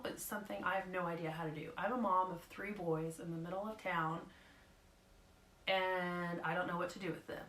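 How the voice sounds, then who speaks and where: A woman, slightly hoarse, giving a casual live talk in a nervous, rambling way with lots of fillers. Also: medium pitch, a bit bright, roomy sound, poor audio. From Oklahoma.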